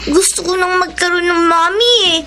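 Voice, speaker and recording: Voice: very high voice, steady tone, moderate pace, sharp tone, exaggerated voice. A performer in a radio drama playing a sweet, earnest young daughter who longs for a mother. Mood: curious, insistent.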